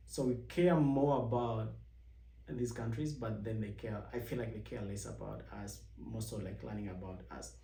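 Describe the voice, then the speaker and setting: Young man from Uganda, vlog host, mellow, rambling storyteller, recorded off-mic in a roomy space. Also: steady tone, normal speed, distant mic. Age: young adult. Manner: rambling with many restarts, acts out quoted questions in a higher voice. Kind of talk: casual personal storytelling. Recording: echoey room, voice set back from the mic.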